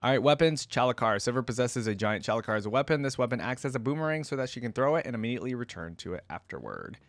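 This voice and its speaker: A man hosting a casual online reaction video, reading wiki text aloud and thinking out loud in an informal way. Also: medium pitch, expressive, quick pace, no echo. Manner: informal, thinking aloud between read-out passages. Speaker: YouTube host reacting to game lore.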